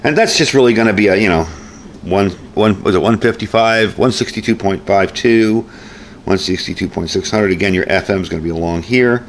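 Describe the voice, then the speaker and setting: Low-voiced man reviewing gear, noisy recording. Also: brisk. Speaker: product reviewer. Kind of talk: casual product review to camera. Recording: clear background noise.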